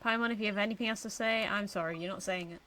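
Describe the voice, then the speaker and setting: A woman's voice: mid-range voice, very fast, sharp tone, slight background noise. A woman streaming a game, reacting casually with playful, wry asides. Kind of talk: casual live gameplay reaction chat.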